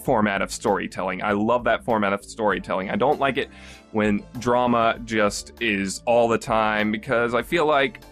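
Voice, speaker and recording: Male reviewer, mid-pitched, chatty and loose, restarts often; soft music underneath. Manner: rambling, with frequent fillers and restarts. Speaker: podcast reviewer. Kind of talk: casual spoken review.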